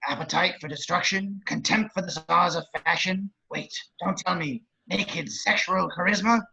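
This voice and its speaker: Super raspy sleazy wisecracking villain boss, scheming and crude, lo-fi audio. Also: male voice, high voice, steady tone, normal speed, pushed and bright, exaggerated voice. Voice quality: very raspy, gravelly. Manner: leering, wisecracking. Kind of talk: amateur table read of a film script.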